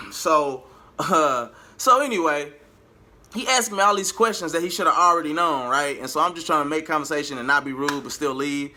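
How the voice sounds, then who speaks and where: An American man telling a story in a casual, slangy vlog style, chatty and animated, with drawn-out emphasis and repetition. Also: fairly high pitch. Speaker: vlogger and amateur singer.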